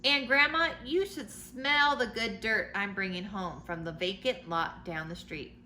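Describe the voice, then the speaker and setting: A woman's voice: mid-range voice, a little slow, pushed and bright. A woman reading a storybook in the part of a cheerful, eager young girl writing letters home. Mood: excited, affectionate. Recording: noticeable background noise.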